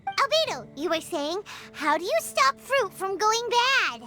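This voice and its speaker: Squeaky, childish fairy sidekick girl. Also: sing-song, measured pace, music in the background, exaggerated voice. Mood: insistent. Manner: speaks of self in third person, emphatic, petulant. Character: tiny excitable floating fairy girl companion, whiny, bossy, childish. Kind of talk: video game cutscene voice acting.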